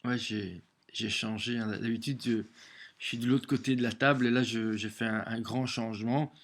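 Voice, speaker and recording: A man with a cold, talking alone to his camera at home in a halting way, with many repeated words and restarts. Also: medium pitch, steady tone. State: has a cold. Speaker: vlogger sharing daily messages. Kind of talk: casual home video monologue. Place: small room at home, facing a window.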